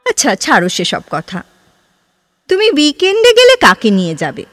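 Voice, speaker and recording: A woman's voice: sits high, wildly swooping pitch, moderate pace, a bit bright, soft background music, exaggerated voice. A woman playing a free-spirited, inquisitive, romantic young woman in an audio drama, with a playful, probing and theatrical delivery. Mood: playful, prodding. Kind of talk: Bengali audio drama dialogue.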